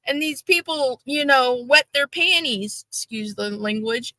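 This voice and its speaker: A woman with a high, bright voice chats on a livestream. She talks with animated energy and mocks people in exaggerated sing-song voices. The sound is close and dry. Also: a little slow, dry recording, poor audio. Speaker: livestream co-host. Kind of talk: casual livestream chat.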